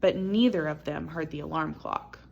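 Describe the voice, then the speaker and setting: A woman reading a children's picture book aloud as an expressive storyteller. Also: low voice, normal speed, creaky, lo-fi sound, a little hiss or hum.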